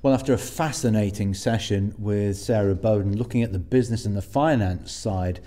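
Mellow low-voiced male podcast host. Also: normal speed. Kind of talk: conversational interview.

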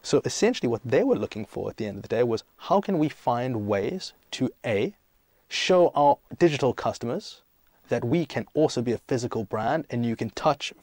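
Young male startup CEO as podcast guest, medium pitch, emphatic explainer, conversational, dry recording. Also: animated, normal speed, a little mellow, no room sound. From South Africa and Greece. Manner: emphatic, explanatory, poses and answers own questions. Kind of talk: conversational interview answers.

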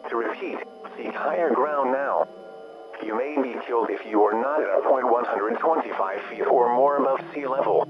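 A man's synthetic-style voice playing an impersonal weather service alert announcer, reading a formal bulletin in a measured monotone. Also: mid-range voice, dull audio, over loud music, natural voice. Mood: official. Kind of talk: formal scripted emergency bulletin.

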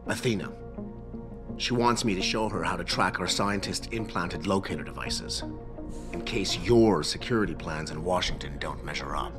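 An actor playing a condescending tech security expert in a video game, sneering and sarcastic. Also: male voice, low-pitched, normal speed, natural voice. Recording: game audio with music behind.

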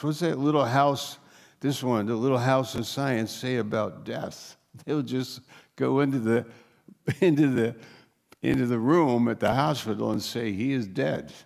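An older man, a pastor, preaching slowly and emphatically from a lectern on a church stage. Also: mid-range voice, gravelly, darkish tone. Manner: slow, emphatic preaching, rising to urgent questions. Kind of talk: sermon.

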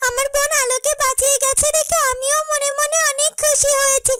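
A woman's voice: very high-pitched, flat delivery, brisk, bright, forceful tone. A woman voicing a small, devoted female serpent in a fairy-tale cartoon, earnest and melodramatic, repeating words. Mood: grieving.

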